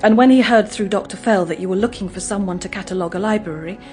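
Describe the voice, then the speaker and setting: Actress doing a troubled young english woman in a radio play, soft-mannered n earnest, bit hesitant, mid voice. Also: mid-range voice, fast talker, faint music behind, natural voice. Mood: subdued, confiding. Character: gentle, guarded young woman with a troubled past. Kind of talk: radio drama acting.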